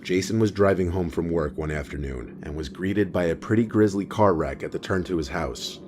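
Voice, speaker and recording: A man reading a scripted documentary narration in a steady, even storytelling manner. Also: sits low, normal speed, slight background noise. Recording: voice-over with clear music behind.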